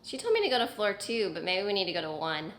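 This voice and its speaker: A woman's voice: mid-range voice, sing-song, quick pace, fairly bright tone. A woman chatting casually while helping with a job indoors. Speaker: helper on an audio gear load-in. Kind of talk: casual chat while working. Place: indoors in building hallways and elevators. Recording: echoey, noisy indoor location sound.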